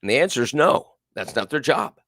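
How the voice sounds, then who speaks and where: A man's voice: medium pitch, expressive, a little fast, a bit bright, no room sound. A male talk show host with a big, carrying voice, giving emphatic, repetitive political commentary and reading viewers' comments aloud. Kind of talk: live political call-in commentary.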